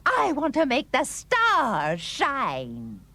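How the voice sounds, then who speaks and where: Woman playing a wildly animated, bossy empress wife. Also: high-pitched, drawn-out words, no room sound, heavily acted. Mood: excited, demanding. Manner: bossy, insistent, emphatic. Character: demanding, imperious wife who rules as emperor. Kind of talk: dramatised folk tale for English learners.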